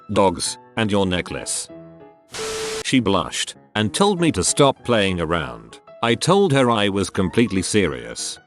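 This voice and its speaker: Guy reading out funny posts, lowish voice, bouncy delivery, soft music behind. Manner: reads first-person anecdotes aloud, spelling out abbreviations letter by letter. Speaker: narrator reading online posts. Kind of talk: scripted narration.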